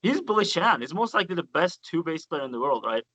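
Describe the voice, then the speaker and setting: A man with a Turkish accent, a bassist guest telling stories in a relaxed interview with loose grammar and casual swearing. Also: fast, no echo. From Turkey.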